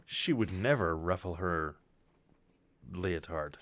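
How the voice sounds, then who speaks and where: A man's voice: low voice, expressive, slow pace, a bit bright. A man co-hosting a stream, chiming in casually with brief remarks over some background noise.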